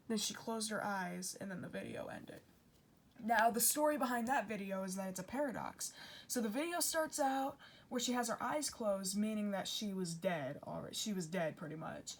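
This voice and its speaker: A woman giving informal explainer commentary in an earnest, conversational way, often correcting herself. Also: medium pitch. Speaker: video creator and commentator.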